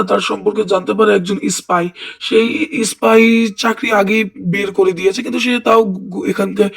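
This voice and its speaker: A man voicing a helpful townsman in a video game, explaining things plainly in a husky voice. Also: high voice, exaggerated voice. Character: helpful game townsman giving directions to police.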